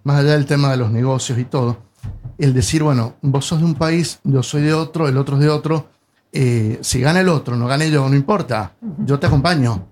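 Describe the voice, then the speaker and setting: A man's voice: medium pitch, brisk, a little mellow, a little hiss or hum. Rioplatense man in his sixties, hesitant. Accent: Rioplatense Spanish. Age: 60s. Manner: hesitant, lots of "eh" fillers. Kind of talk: casual chat.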